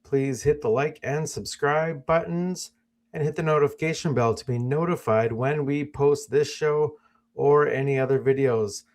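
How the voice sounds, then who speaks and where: A man's voice: fairly high pitch, moderate pace, darkish tone. A man hosting a sports podcast, opening the show in a cheerful, casual way. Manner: upbeat show opener. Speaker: podcast host.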